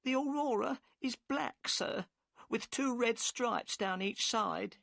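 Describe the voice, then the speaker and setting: A man's voice: very high voice, slow pace, vocal fry, fairly bright tone, dry recording, clean studio sound, pitched above the performer's own voice, heavily acted. A man voicing a worried, tearful middle-aged wife of a boatman, who answers deferentially and keeps calling him sir. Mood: anxious. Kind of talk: audiobook character voice.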